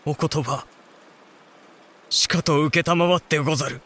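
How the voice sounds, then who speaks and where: An earnest, solemn young samurai heir. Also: male voice, on the high side, steady tone, takes it slowly, bright, forceful tone, full character voice. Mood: dutiful, grieving. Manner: formal, solemn, respectful. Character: earnest, dutiful adult samurai son and heir. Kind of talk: audio drama acting.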